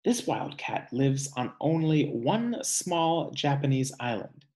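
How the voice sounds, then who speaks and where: A man in his thirties reading a children's nonfiction picture book aloud in a deliberate way, pausing for musing asides. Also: medium pitch, very animated, darkish tone, rough recording. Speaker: read-aloud reader. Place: small indoor room at home.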